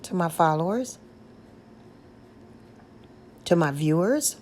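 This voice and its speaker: Deep, coarse-voiced woman calling softly. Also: lively intonation, slow pace, slight background noise. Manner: gentle, pleading, repetitive calling out. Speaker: paranormal vlogger. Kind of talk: slow, hushed spirit invocations mixed with casual chat to viewers. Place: outdoors on a front porch. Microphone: external microphone.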